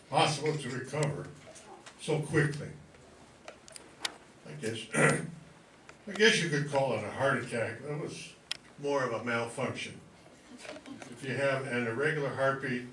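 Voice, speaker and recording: An older man giving an informal speech to an audience in short, halting phrases with dry humour. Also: medium pitch, a bit bright. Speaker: guest speaker, amateur writer and actor. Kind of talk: informal thank-you speech. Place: room before a live audience. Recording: echoey room, distant pickup, faint noise.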